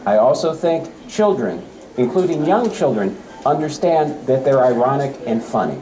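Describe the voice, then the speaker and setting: A middle-aged man giving a public talk and reading poems aloud, with expressive storytelling. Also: mid-range voice, normal speed, reverberant, rough recording. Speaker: speaker reading poems at a library event. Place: campus center event space. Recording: live event with faint room noise. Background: faint ambient noise.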